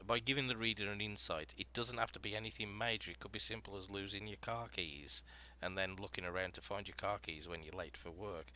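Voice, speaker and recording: Deep-voiced, bright-toned male podcast host. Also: steady tone, fairly quick, few pauses. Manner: explanatory, storytelling, filler-heavy. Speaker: podcast host leading a writing panel. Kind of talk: casual panel discussion.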